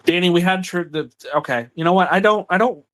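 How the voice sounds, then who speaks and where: A man argues over the phone in a frustrated, accusatory way, circling back to the same complaints. His voice sits a bit high for a man. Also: brisk, fairly bright tone. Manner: frustrated, accusatory, repeats himself. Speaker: partner on a phone call. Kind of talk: heated personal argument.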